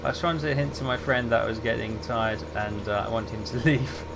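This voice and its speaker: A man's voice: medium pitch, brisk, creaky. Chatty male game streamer over background hum. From England. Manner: relaxed, chatty, answers chat while playing. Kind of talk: casual gameplay commentary, chatting with viewers.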